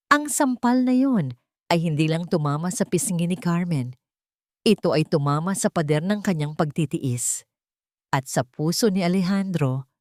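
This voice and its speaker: A woman's voice: on the low side, no room sound, clean studio sound. A woman narrating a scripted romantic melodrama in a dramatic storytelling style.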